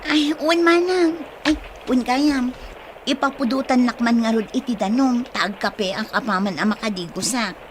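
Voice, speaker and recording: A woman's voice: fairly high pitch, normal speed, noisy background, natural voice. A woman acting an anxious, easily startled young woman with an Ilocano accent in a radio drama. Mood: playful, weary.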